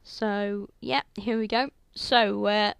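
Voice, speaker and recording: A young woman with a sore, croaky throat, chatting through video game commentary on a headset mic in a rambling way full of fillers. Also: mid-range voice, steady tone, slow pace, a little hiss or hum. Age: young adult. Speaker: gaming channel host. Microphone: headset microphone.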